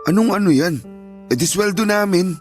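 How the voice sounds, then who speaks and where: Man acting an irritable, higher-voiced Filipino husband. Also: moderate pace, natural voice. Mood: dismissive. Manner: exasperated, dismissive, defensive. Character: irritable, evasive, dismissive husband, tired working man. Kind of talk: Filipino radio drama acting. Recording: music playing behind the voice.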